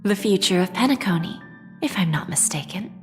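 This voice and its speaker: An actress playing a shrewd, composed senior corporate envoy in a video game, speaking in a measured, formal, self-assured way. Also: female voice, mid-range voice, lively intonation, close to an everyday voice. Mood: polite, poised. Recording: reverberant hall, music behind.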